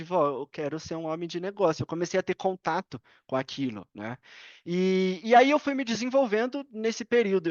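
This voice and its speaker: High-voiced Brazilian man telling his story. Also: no room sound, dull audio. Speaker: podcast guest. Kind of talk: casual interview storytelling.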